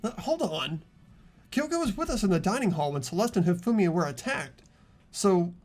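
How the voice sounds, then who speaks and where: A man's voice: high voice, sing-song, moderate pace, darkish tone. A man playing an earnest, anxious, kindly teenage boy protagonist in a fan game dub, with a hesitant manner. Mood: worried. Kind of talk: amateur game voice acting and narration.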